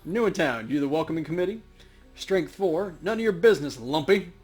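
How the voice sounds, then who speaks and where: Husky man playing a video game. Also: fairly high pitch, expressive, a little fast, faint music behind. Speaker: let's play co-host, player. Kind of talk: casual gaming chat, reading out player choices.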